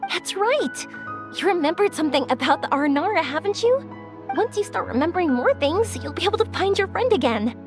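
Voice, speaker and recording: An actress playing a kind, reassuring young woman in a video game, speaking gently and encouragingly. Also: very high voice, sing-song, brisk. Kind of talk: English video game voice acting. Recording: music behind the voice. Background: game background music.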